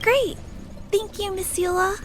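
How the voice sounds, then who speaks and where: An actress voicing a gentle, polite and earnest little girl in a video game, with hesitant, careful speech. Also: extremely high pitch, unhurried, a little hiss or hum. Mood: grateful. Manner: polite, soft-spoken, hesitant, careful phrasing. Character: gentle, earnest, kind-hearted little girl, shy but resolute.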